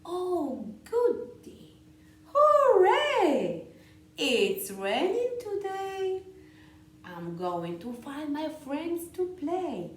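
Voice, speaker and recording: A woman's voice: high voice, big pitch swings, very slow, pitched above the performer's own voice, full character voice. Woman playing a swooping, childlike little storybook duckling. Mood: excited, playful. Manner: exaggerated, childlike, swooping delivery. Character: playful, excitable little duckling from a picture book. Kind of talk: slow, sing-song picture book read-aloud. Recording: roomy echo, faint background noise.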